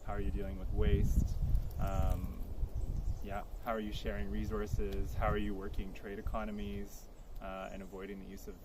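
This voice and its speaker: A man's voice: lowish voice, completely flat delivery, moderate pace, very noisy. A man answering interview questions outdoors in an earnest, reflective way. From Poland. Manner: reflective, earnest, thinks aloud. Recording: crickets clearly audible outdoors.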